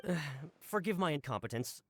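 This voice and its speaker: A man's voice: a little high, very animated, fairly quick, fairly bright tone, dry recording. A man playing an earnest, deferential and easily flustered young subordinate in a video game. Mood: apologetic.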